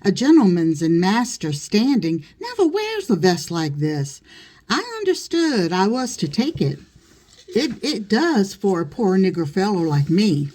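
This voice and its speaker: A woman reader doing a foppish manservant from a classic novel. The voice lifts higher than plain narration, airy and self-important, laying on flattery. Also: a little slow. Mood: airy, wheedling. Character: foppish, fawning male servant, vain dandy.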